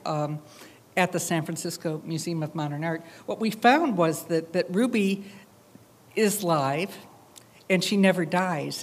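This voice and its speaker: A woman artist presenting her project in a public talk, speaking reflectively with frequent hesitant pauses. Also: lowish voice.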